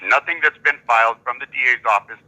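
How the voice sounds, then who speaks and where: Male dispatcher on an echoey phone call, very bright forceful voice, firm and by the book. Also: mid-range voice, fast talker. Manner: firm, procedural, repetitive. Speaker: police dispatcher. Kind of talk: official phone conversation. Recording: echoey telephone call, faint noise.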